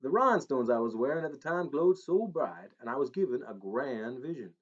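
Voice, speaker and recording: A man's voice: medium pitch, expressive, a little fast, slightly rough. A man voicing a paunchy middle-aged driver who claims to be Elvis, crooning with a put-on Southern twang. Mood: conspiratorial. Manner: crooning, swaggering, conspiratorial. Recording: slightly dull recording.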